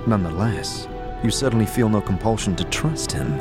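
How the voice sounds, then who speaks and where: A man's voice: fairly low pitch, animated, brisk, music in the background. A man narrating a fantasy audio drama from a script in the second person.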